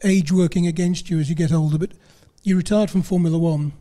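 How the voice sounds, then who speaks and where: A man's voice: on the high side, fairly even intonation, fast, warm tone. A man interviewing a guest at a panel table in an indoor club room, asking rambling questions that restart, into a handheld mic. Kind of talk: casual interview. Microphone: handheld microphone.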